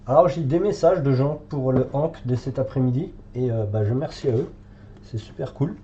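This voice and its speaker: A man's voice: medium pitch, normal speed, warm tone, highs cut off, a little hiss or hum. A French man with a cold, thinking aloud with many fillers as he paints live in a hobby tutorial. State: has a cold, congested.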